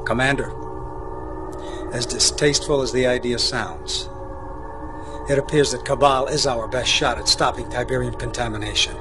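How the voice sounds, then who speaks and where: A man's voice: medium pitch, steady tone, moderate pace, pauses often, over music, lightly acted. A middle-aged man playing a stern, commanding general in a video game cutscene, clipped and authoritative. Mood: stern, measured.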